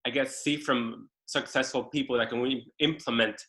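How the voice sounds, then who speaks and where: A man's voice: mid-range voice, steady tone, moderate pace, pushed and bright, rough recording. A man hosting an interview over a video call, introducing his guest and asking short prompting questions. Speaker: host.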